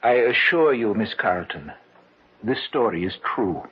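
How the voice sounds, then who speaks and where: Solemn foreign treasure seeker, muffled old radio. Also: male voice, lowish voice, very animated, breathy edge, full character voice. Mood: earnest, assured. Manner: formal, measured storytelling. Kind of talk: old-time radio drama acting.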